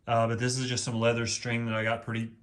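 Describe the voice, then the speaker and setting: A deep-voiced man from East Texas talks in a loose, folksy ramble. He fills his pauses with ums and uhs as he explains a home project. His pitch sits right in the middle for a man. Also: monotone, noisy background. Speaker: vlogger. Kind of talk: casual home how-to video.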